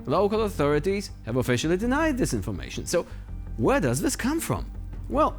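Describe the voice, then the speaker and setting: A male news host delivers scripted satirical commentary with a sardonic edge. His intonation swings dramatically around an average pitch, and music plays behind him. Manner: sardonic, mocking.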